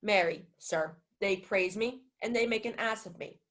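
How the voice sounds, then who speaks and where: A woman's voice: mid-range voice, normal speed, a bit bright, natural voice. A young woman playing a witty, wheedling household clown in a radio-style Shakespeare play. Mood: cheeky, wheedling. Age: young adult.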